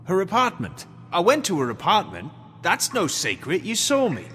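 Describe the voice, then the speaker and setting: Bright, cocky, reverberant thug. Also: male voice, high-pitched, wildly swooping pitch, moderate pace, soft background music, exaggerated voice. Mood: evasive, insistent. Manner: flippant, slangy, defensive. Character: cocky, evasive male street thug under questioning. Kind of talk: video game voice acting.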